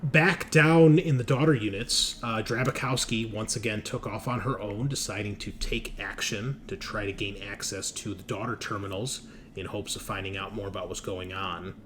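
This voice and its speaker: A man narrating a recap as game master of a tabletop horror game, unscripted and halting, speaking directly to the players. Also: fairly low pitch, a bit bright. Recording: clear background noise.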